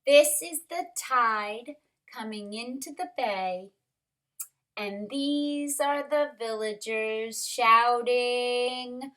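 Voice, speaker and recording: Female storytime reader, teacherly and expressive, unhurried delivery, clear bright tone, slightly high pitch. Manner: expressive, dramatic, asks children questions. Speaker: kindergarten teacher reading aloud. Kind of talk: animated storybook read-aloud for young children.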